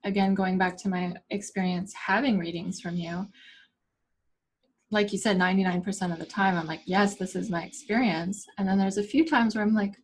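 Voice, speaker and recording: A woman's voice: medium pitch, fairly even intonation, brisk, slight background noise, poor audio. A woman hosting a casual interview, talking chattily with many "like"s and playful quoted asides. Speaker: interview host.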